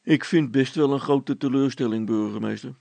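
A man's voice: medium pitch, moderate pace, gravelly, mellow, no echo. A man voicing a down-to-earth, weary, grumbling man in a children's story, speaking in a dry, plain-spoken way. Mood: disappointed. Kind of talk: children's audio story character voice.

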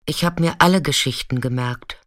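A streetwise woman of the night in an intimate radio drama. Her voice is bright and forward, recorded very dry and close. She talks colloquially and frankly, caring and hard to shock. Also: low-pitched, moderate pace, no room sound. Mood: chatty, familiar. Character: warm, chatty, streetwise female sex worker, caring and unshockable.

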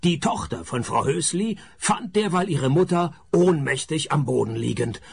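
A man playing a sarcastic private detective in a radio crime comedy, narrating and talking with deadpan dryness. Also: on the high side, normal speed, gravelly, slightly rough, heavily acted. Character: wry, sarcastic male private detective narrating his case.

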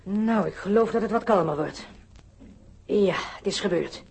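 A woman playing a plucky, excitable teenage boy adventurer in a radio play, exclaiming and stammering in surprise. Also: medium pitch, normal speed, creaky, husky. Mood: startled, amazed. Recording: loud ambient effects noise throughout.

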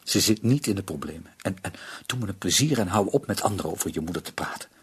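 An actor playing a defensive, irritable middle-aged father with a raspy voice in a radio play. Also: sits low, animated, fast, exaggerated voice. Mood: angry, indignant. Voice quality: raspy, husky. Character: defensive, irritable father protecting his wife.

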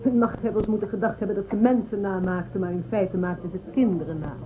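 An actress playing a haughty, probing woman official of a space empire in a radio drama, condescending and measured. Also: mid-range voice, fairly even intonation, narrow, tinny audio, natural voice. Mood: insistent. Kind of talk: Dutch radio drama acting. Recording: thin band-limited, noisy old radio recording.

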